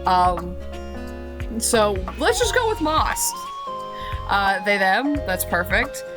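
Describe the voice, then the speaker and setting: A woman's voice: medium pitch, big pitch swings, takes it slowly, over music. Chatty woman with a cold, gaming. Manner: chatty, filler-heavy, giggly. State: has a cold. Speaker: let's play streamer. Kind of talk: casual gameplay commentary.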